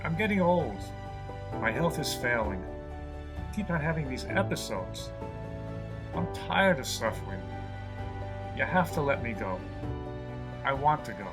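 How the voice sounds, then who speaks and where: A man playing an aging, weary, gentle mouse who speaks as a calm, fatherly mentor in an audio drama. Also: mid-range voice, a little slow, long pauses, over music. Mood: tender farewell.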